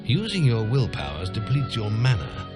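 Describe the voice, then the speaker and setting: Fantasy game mentor: fatherly old master, low-voiced, solemn and instructive, strong music underneath. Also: wildly swooping pitch, normal speed. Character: aged, fatherly male guild master and storyteller. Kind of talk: scripted fantasy game dialogue and narration.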